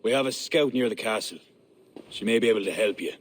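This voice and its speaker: A grave, ambitious high king. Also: fairly quick, slightly rough. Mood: commanding. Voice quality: commanding, grave, regal. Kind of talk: video game cutscene acting.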